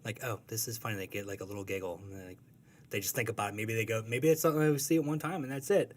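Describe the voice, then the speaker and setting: Low-voiced rambling man, casual chat. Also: very fast. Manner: rambling, self-correcting, relaxed and laughing easily. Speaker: cartoonist guest. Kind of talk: casual conversational interview.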